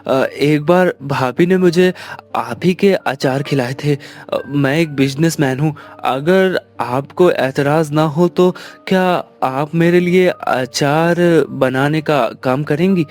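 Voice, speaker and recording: A man's voice: a little high, takes it slowly, a little mellow. An actor playing a polite, persuasive young businessman in a Hindi family cartoon.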